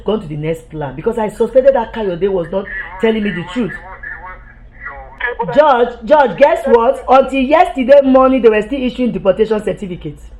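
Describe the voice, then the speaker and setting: A woman's voice: fairly high pitch, darkish tone, rough recording. A woman giving an impassioned political monologue, heated and accusatory, repeating herself and stumbling over restarts when agitated.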